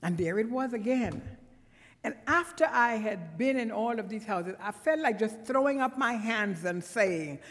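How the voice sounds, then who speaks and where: A woman's voice: a little high, animated, a little slow, a bit bright. A woman from Trinidad with a Trinidadian accent, preaching a conversational sermon as a guest in a church, with earnest, emphatic bursts. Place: church sanctuary. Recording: long church reverb.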